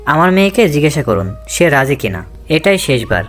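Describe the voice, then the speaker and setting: A woman's voice: deep voice, big pitch swings, a little fast, faint music behind, voice pushed down. Woman putting on a stern father, swooping intonation. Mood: stern, formal. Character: stern, impatient middle-aged father of groom. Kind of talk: dramatised romantic story dialogue.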